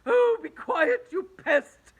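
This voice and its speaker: Woman doing a shrill, quavering, timid earthworm. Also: little pitch movement, slow pace, a bit bright. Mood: panicked scolding. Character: timid fearful male earthworm, blind and whiny. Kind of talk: storybook read-aloud.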